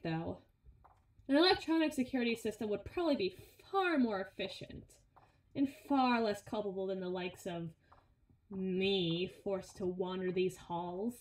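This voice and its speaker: A woman's voice: a little high, a little mellow. A woman playing a brawny, sardonic henchwoman who grumbles and teases in an intimate audio roleplay, with a conversational, sarcastic delivery. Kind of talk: ASMR audio roleplay, intimate one-sided dialogue. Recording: roomy, echoing voice recording.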